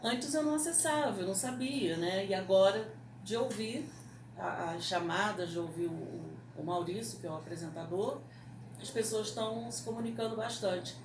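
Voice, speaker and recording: A woman's voice: mid-range voice, normal speed. A Brazilian woman radio producer explaining things casually in an interview. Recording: echoey room, distant mic, background noise.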